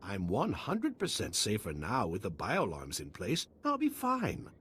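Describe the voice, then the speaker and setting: A man's voice: fairly low pitch, huge pitch swings, measured pace, bright, forceful tone, slight background noise, exaggerated voice. A man voicing a grateful, formal scientist in a video game, with effusive, elaborately polite phrasing. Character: Republic doctor, a grateful, formal male scientist.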